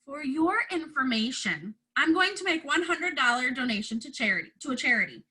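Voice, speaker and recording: Precocious young girl read by a woman for a storybook: defiant, indignant, sharp high voice. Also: expressive, fairly quick. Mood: smug, defiant. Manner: indignant, smug.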